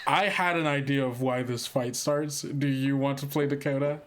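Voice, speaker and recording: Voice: medium pitch, steady tone, normal speed, noisy background. A player narrating scenes casually and improvising in a tabletop roleplay game.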